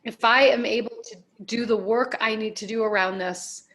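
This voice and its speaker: A woman being interviewed as a life coach, giving advice in deliberate, emphatic phrases with measured repetition. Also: a little high, steady tone, fairly bright tone.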